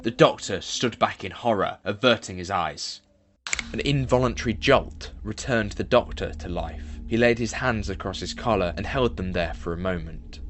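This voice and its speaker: A man's voice: on the low side, normal speed, fairly bright tone. A man reading scripted dramatic narration for an audio story.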